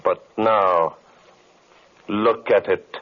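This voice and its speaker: An actor playing a menacing, mockingly courteous villain sorcerer in an old radio drama, with a sinister, taunting delivery. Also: male voice, lowish voice, fairly even intonation, extremely slow. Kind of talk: 1940s radio drama acting. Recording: dull old radio recording.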